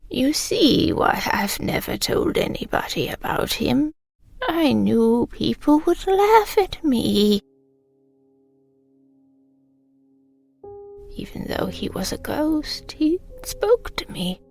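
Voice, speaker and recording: A woman playing a frail, wistful elderly British mother remembering her wartime childhood in a hushed, breathy audio drama monologue. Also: sits high, sing-song, no room sound, exaggerated voice. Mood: tender. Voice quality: breathy, whispery. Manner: slow, hushed reminiscing.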